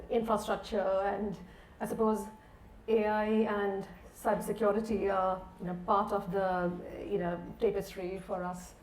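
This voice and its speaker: A middle-aged woman speaks on a conference panel in a flat, even tone. The room echoes and the microphone is at some distance. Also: mid-range voice. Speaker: technology panelist. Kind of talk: self-introduction on a conference panel. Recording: echoey room, distant mic, background noise.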